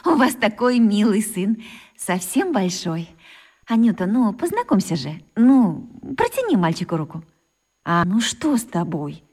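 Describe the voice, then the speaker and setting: A woman's voice: mid-range voice, very animated, unhurried, a little mellow. An actress playing a chatty, coquettish mother with affected, fussy politeness in a radio play. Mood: fussy, prodding.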